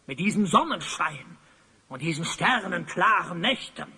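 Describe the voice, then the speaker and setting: An evil sorcerer rules his audio drama scenes with pompous, theatrical commands. The voice sits fairly high and swings wildly between gloating and barking, ringing through a long reverb. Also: expressive, measured pace, bright, forceful tone, slightly rough, full character voice. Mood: exasperated. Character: tyrannical, gloating evil sorcerer, Master of Time. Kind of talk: German audio drama acting.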